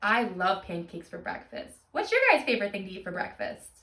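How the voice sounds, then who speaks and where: A woman hosting storytime like a teacher, reading a picture book to young children in an animated, expressive way. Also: medium pitch, fairly quick, reverberant, recorded from a distance. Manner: animated, expressive teacher talk with simple questions and explanations.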